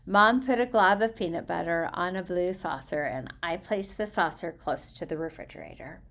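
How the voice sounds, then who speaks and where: A woman storyteller reading aloud in an expressive, theatrical way, with deliberate phrasing and hushed emphasis. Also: on the low side, gravelly.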